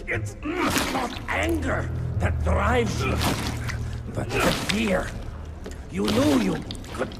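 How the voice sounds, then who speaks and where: A sneering, unhinged vampire villain from a video game. The gravelly voice drags its words slowly and mockingly, leaping wildly in pitch, with loud music behind. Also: male voice, sing-song, full character voice. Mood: mocking, menacing. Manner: mocking, drawn-out, theatrical delivery.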